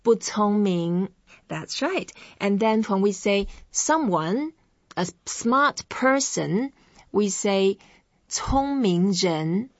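A woman's voice: medium pitch, animated, no room sound, muffled recording. Woman with a chinese accent teaching words. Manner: slow, clearly separated syllables when modelling words. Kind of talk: language lesson with repeat-after-me drills.

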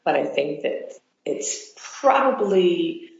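Woman student thinking out loud, pauses a bit, really echoey dull-sounding room. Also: fairly low pitch, sing-song, vocal fry. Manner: thoughtful, reflective speaking with small hesitations. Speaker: language learner. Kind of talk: lesson conversation. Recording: dull roomy echo.

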